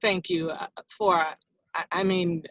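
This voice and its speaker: A woman's voice: fairly low pitch, fairly even intonation, unhurried, a bit bright, dry recording. A woman playing an earnest, bookish, conciliatory friend in a play reading, choosing words hesitantly. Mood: earnest, careful. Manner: hesitant, careful phrasing. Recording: dull lo-fi close recording.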